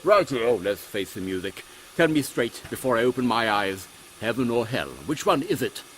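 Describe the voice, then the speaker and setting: A man's voice: mid-range voice, lively intonation, normal speed, breathy edge, rough recording. Man doing a lisping, blustering English army captain. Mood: dazed, bewildered. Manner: blustering, astonished. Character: gallant, flabbergasted British army captain, old-fashioned gentleman. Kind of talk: steampunk audio comedy acting.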